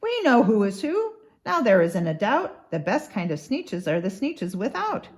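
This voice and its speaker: A woman's voice: mid-range voice, sing-song, measured pace. A woman voicing boastful, snooty storybook creatures with a haughty, bragging manner. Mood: triumphant.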